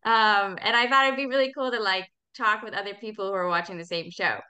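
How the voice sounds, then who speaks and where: Woman podcast guest, bright clear-cut voice, chatty and informal, kinda halting as she explains stuff. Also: medium pitch, steady tone. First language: English. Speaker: podcast guest, language club organizer. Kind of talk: casual interview chat.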